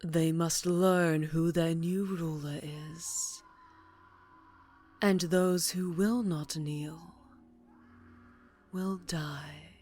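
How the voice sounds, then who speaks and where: A woman performs an imperious vampire mistress in a fantasy ASMR drama. She speaks low and very deliberately, slipping into breathy whispers. Her tenderness is mocking and full of menace, with faint music behind her. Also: fairly even intonation, a little mellow. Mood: stern threat. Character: cruel, imperious vampire mistress gloating over her witch apprentice. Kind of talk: ASMR fantasy roleplay acting.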